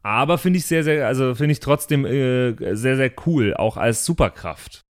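Male tabletop player, medium pitch, casual and tentative, building ideas out loud piece by piece. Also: animated, moderate pace. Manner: hesitant, thinking aloud in fragments. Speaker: tabletop role-playing player. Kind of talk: casual chat.

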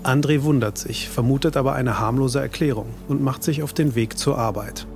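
Male narrator doing scripted true crime, mid pitch, music clearly behind him. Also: moderate pace.

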